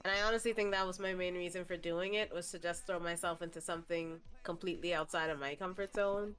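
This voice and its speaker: A woman's voice: fairly low pitch, steady tone, fast talker, a bit bright, faint music behind. A young woman from the Bahamas, a streamer guest chatting casually in an interview, laughing often and swearing freely.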